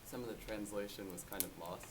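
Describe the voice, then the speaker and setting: A man's voice: fairly low pitch, fast talker. A man from Cyprus, a native Greek speaker, asking a question from the audience in a casual, loosely worded way. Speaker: audience member. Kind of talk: question from the floor. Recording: clear background noise.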